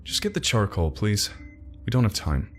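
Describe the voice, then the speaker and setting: A man voicing a hurried emergency doctor giving quick orders. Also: lowish voice, huge pitch swings, soft background music. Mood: impatient. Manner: brisk, impatient.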